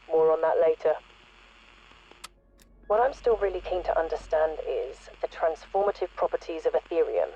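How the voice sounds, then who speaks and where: A woman's voice: fairly low pitch, lightly acted. Inquisitive woman scientist played by an actress, conversational and self-correcting, video game audio log, dull lo-fi sound. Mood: disapproving. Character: earnest, curious woman scientist with a conscience. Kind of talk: acted audio log, thinking aloud.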